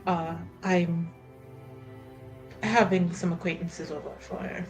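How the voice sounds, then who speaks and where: A woman's voice: lowish voice, fairly even intonation, takes it slowly, darkish tone, soft background music. A woman voicing a composed, gracious noblewoman with a polite, formal manner in a tabletop fantasy game.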